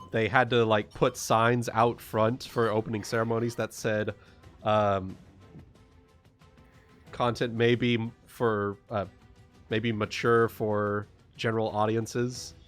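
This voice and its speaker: A man runs an online tabletop game in a casual, unscripted style. His delivery is slow and halting with loose swearing, and faint music plays behind him. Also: on the low side. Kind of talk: casual chat and off-the-cuff game recap. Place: online group voice call.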